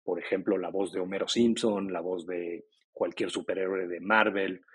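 A man's voice: low voice, fairly even intonation, normal speed, breathy edge. A middle-aged man, a company co-founder answering interview questions in a fluent, explanatory way. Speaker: podcast company co-founder, guest. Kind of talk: casual interview talk.

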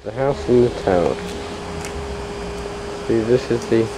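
Guy narrating a town walk on camera mic, slow and halting, loud traffic behind. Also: mid-range voice. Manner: slow, halting, pointing things out. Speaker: tour guide filming a town walk. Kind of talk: casual walking-tour commentary. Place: outdoors on old town streets. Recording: loud street traffic noise.

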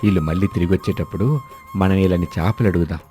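A man voicing a hungry, mild old village husband in an animated moral story. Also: sits low, fast, faint music behind, natural voice. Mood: coaxing, eager. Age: older.

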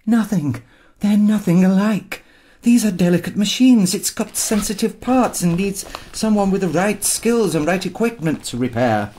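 An actor playing a pompous, irritable robotics designer in an audio drama, haughty, sarcastic and easily exasperated. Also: male voice, high voice, animated, moderate pace, a little mellow, slight background noise. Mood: condescending.

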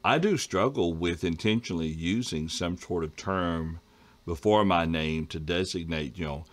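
A middle-aged man hosting a casual Bible study discussion over a video call, reading viewer questions and often clearing his throat. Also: low-pitched, moderate pace. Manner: conversational, frequent throat clearing. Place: home study with bookshelves.

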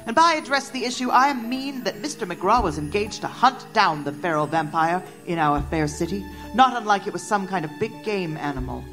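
An actress playing a genteel Victorian newspaper reporter, narrating a gothic tale in formal, ornate prose. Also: female voice, mid-range voice, animated, moderate pace, hardly any pauses, over music, close to an everyday voice. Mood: measured, grave. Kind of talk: scripted narration.

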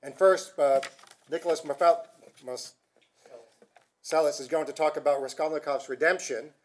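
A middle-aged man moderating a conference session at a podium, speaking in a measured, hesitant way with restarts. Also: fairly high pitch, steady tone, slight background noise. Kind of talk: formal commentary and introduction. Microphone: podium microphone.

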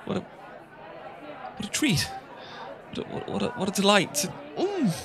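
A bashful young British professor, acted by a man for a romantic audio roleplay. His voice sits a little high and he stammers and gushes in halting, tipsy politeness. People chatter in the background. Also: big pitch swings, heavily acted. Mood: delighted, shy. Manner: stammering, halting, gushing, old-fashioned polite. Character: bashful, flustered young male English professor, smitten and tipsy. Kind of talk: romantic ASMR roleplay acting.

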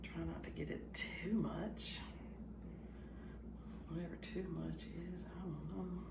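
Slow-talking woman in an echoey kitchen. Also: vocal fry. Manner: unhurried, self-doubting asides, lively pitch. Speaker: home cooking vlogger. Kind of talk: casual cooking demonstration. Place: home kitchen, indoors. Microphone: far camera. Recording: echoey kitchen, camera far off, background noise.

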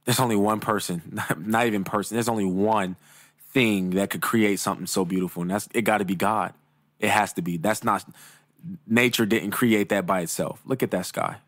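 Male reaction host, low voice, casual and agreeable, close dry mic. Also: normal speed, no echo. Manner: relaxed, agreeing, thinks aloud with fillers. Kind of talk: casual commentary. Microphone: studio mic.

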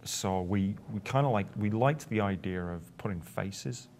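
A middle-aged man explaining his work as an interview guest, in a halting, word-repeating manner. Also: on the low side, a little mellow, slight background noise. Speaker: guest, illustrator.

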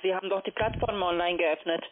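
A woman's voice: on the low side, fairly even intonation, quick pace. Woman call centre agent, tinny phone line. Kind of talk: telephone sales pitch.

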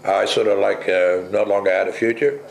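An older man originally from the Netherlands, being interviewed at home and talking bluntly, with plenty of swearing and pauses. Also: on the low side, steady tone, gravelly, roomy sound. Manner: blunt, salty, rambling with many pauses. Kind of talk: casual interview. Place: kitchen at home, indoors.

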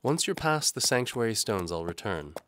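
A man's voice: on the low side, very animated, moderate pace, fairly bright tone, slight background noise, voice pushed up. A man voicing a resourceful, practical maid with a terse, matter-of-fact way of talking in an audio drama. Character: resourceful young maid in disguise, brisk and practical.

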